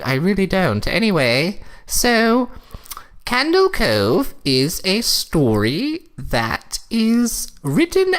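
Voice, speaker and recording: A woman's voice: fairly high pitch, exaggerated voice. A woman playing a snooty, fussy older wife in a comic story reading, with a prim, theatrical, condescending delivery. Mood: prim, explaining.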